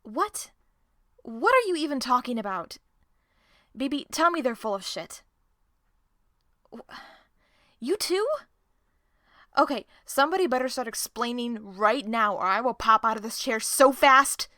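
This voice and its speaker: Bright, sharp-tongued woman, acted out by a woman. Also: fairly high pitch, animated, unhurried, long pauses, dry recording, clean studio sound. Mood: shocked, demanding. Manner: profane, confrontational, sarcastic. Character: sharp-tongued, distrustful woman on a criminal team. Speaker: voice actor in an audio roleplay. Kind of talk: dramatic audio drama acting.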